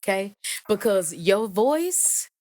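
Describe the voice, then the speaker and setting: A woman singer and songwriter with a deep voice, chatting as a guest in an animated, emphatic, playfully teasing way. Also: slow pace, no echo. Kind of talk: casual interview chat.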